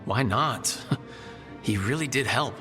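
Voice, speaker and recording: An actor playing a wry, easygoing young hero in a fantasy video game, with a casual delivery. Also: male voice, mid-range voice, takes it slowly, bright, forceful tone. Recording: long reverb, music behind.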